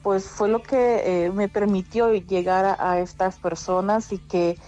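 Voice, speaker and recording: A woman's voice: medium pitch, steady tone, measured pace, mellow, muffled recording. A woman telling her story as an interview guest, earnest and often restarting her sentences as she urges listeners on. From immigrant living in Spain. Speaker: guest, domestic workers' rights advocate. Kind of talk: conversational interview testimony.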